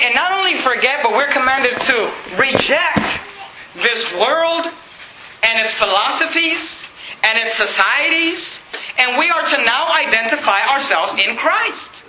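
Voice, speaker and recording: A Venezuelan-born man preaching an emphatic sermon as pastor, shouting his points and repeating verse references in a church with the congregation answering. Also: very high voice, slight background noise. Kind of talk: fiery evangelical sermon. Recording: echoey church with congregation responses.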